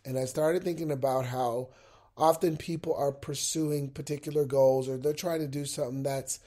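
Congested upbeat man with a mellow voice. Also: mid-range voice, steady tone, normal speed. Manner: upbeat, encouraging, speaks straight to listeners. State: congested from a head cold. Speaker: podcast host. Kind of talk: unscripted motivational podcast monologue. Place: at home, in bed. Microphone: laptop with a mic.

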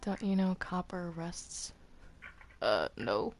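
A woman playing a defensive, flustered male captive in an improvised game roleplay, halting and stammering as they protest. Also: fairly low pitch, sing-song, a little hiss or hum. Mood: defensive, wary. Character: defensive reluctant captive Bendy, male cartoon demon, flustered.

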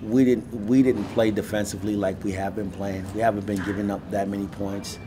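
A middle-aged man, a basketball head coach, answering reporters at a postgame press conference in a measured way, often restarting his phrases. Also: lowish voice, level tone, background noise. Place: small indoor media room. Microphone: handheld recorders held up by reporters.

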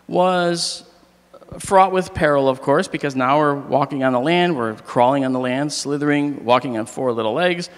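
A middle-aged man with a slightly hoarse voice gives a joking, animated astronomy lecture on stage into a handheld mic. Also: fairly high pitch. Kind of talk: public lecture with jokes. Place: large hall, on stage. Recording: long reverb of a large hall.

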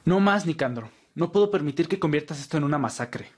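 A man's voice: a little high, lively intonation, quick pace, slightly rough. A man playing a principled, distraught vampire in an audio roleplay, pleading and indignant in manner. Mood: defiant. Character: distraught, principled male vampire challenging his leader. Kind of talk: ASMR audio roleplay acting.

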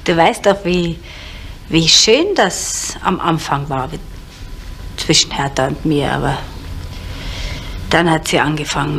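An actress playing a bitter, desperate middle-aged woman in a crime radio drama, halting and repeating words, sometimes dropping into whispers. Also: deep voice, creaky, a bit bright, exaggerated voice. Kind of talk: naturalistic German radio crime drama acting. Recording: noisy 1970s radio play recording.

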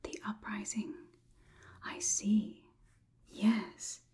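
A young woman plays a robot overlord in an ASMR roleplay, polite and clinically curious about humans. The voice is a soft, dark-toned whisper, close to the mic. Phrases come slowly and deliberately, in formal wording. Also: mid-range voice, completely flat delivery. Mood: polite, measured. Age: young adult. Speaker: ASMR performer.

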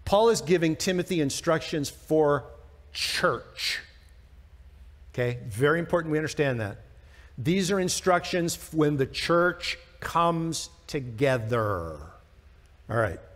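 Male American pastor, sixties, conversational and emphatic, slightly high voice. Church hall reverb. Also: animated, takes it slowly. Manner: informal, emphatic, with rhetorical questions. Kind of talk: conversational verse-by-verse Bible teaching. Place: church sanctuary stage, indoors.

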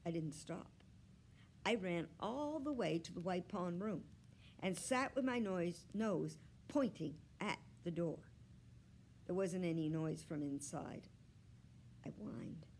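An earnest, fretful pet dog narrates a children's story, voiced by a woman. The reading is unhurried and very animated, confiding in tone, with faint noise in the background. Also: fairly low pitch.

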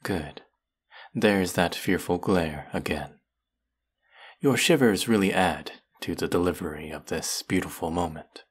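A man acts out a vengeful fantasy villain for an audio roleplay, speaking straight to the listener. The voice is very low, slow and husky, heavy with bitter menace and grief. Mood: menacing. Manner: menacing, sardonic, slow and deliberate. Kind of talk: dramatic audio roleplay acting addressed to the listener.

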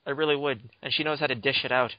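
A man's voice: mid-range voice, steady tone, fast, dry recording. A man hosting a podcast, chatting casually with crude teasing banter. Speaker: podcast host. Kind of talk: casual chat. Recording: dull lo-fi dry podcast mic.

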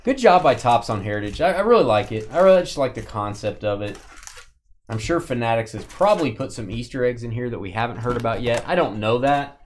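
A man's voice: mid-range voice, expressive, fairly quick, slight background noise. A man with a Texas accent hosting a live-stream card break, chatting and joking with viewers as he opens packs. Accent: Texan.

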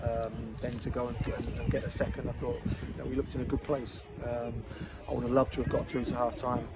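A man, a football manager giving a measured post-match interview outdoors at a football ground, with many restarts and fillers. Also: medium pitch, flat delivery. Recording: loud outdoor noise.

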